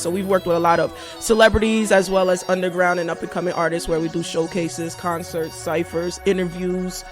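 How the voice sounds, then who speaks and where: A woman guest who owns a record label, talking casually and confidently about her work. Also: fairly low pitch. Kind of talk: casual interview chat.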